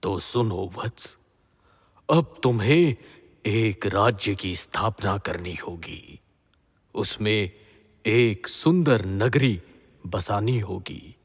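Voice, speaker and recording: Man acting deep, slow, husky sage. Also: animated, exaggerated voice. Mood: instructive. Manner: solemn, measured, authoritative blessing. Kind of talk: devotional mythological audio drama acting. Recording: long reverberant hall echo.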